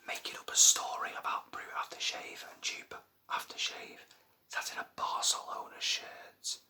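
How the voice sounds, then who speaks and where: A man's voice: moderate pace, pushed and bright. A man whispering an ASMR vlog at home at night, rambling and mocking himself. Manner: rambling, self-mocking, playful. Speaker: ASMR vlogger.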